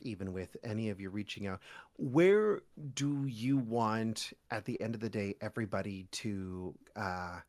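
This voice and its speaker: A man's voice: mid-range voice, fairly bright tone. A man chatting casually as a podcast guest and voice actor, jokey and rambling with stop-start phrasing. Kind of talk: casual interview chat.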